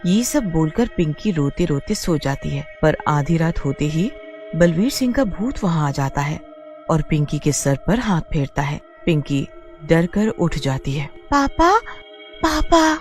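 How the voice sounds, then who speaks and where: A woman narrating a cartoon story in a smooth, expressive storytelling manner. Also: low voice, measured pace. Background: faint music.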